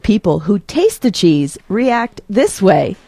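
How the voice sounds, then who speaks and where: A woman's voice: medium pitch, very animated, takes it slowly, dark tone, husky, faint music behind. An American woman reporter reading scripted narration for a television feature story.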